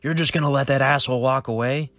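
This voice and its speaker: Guy playing a brash young assassin, pushy, kinda higher voice. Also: expressive, a little fast, a bit bright, no echo, soft background music. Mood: indignant. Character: hot-headed young male clone assassin.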